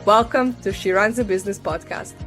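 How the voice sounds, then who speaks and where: A woman's voice: medium pitch, brisk, a bit bright, soft background music. A woman with a Croatian accent, a marketing coach hosting a podcast interview with an upbeat, promotional manner. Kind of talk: podcast interview, scripted intro.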